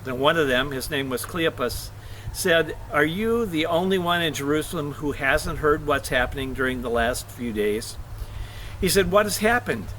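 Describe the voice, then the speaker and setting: Man, fairly high voice, church scripture reader, expressive delivery, occasional stumbles. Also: moderate pace, a little hiss or hum, rough recording. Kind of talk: scripture reading aloud.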